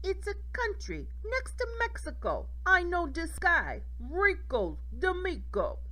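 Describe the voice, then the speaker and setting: A woman's voice: very high-pitched, wildly swooping pitch, slow pace, pushed and bright, voice pushed up, exaggerated voice, poor audio. A woman voicing a brash male boss in a children's storybook, a slangy wheeler-dealer. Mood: eager. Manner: casual slangy deal-making talk.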